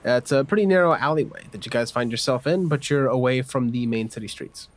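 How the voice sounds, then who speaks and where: A man's voice: medium pitch, fast. A man acting as game master, casually narrating a tabletop role-playing game and answering the players.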